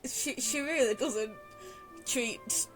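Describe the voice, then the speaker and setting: Woman, casual game commentary, rambling, self-deprecating, fairly high pitch, faint music behind. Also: slow. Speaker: gaming video creator.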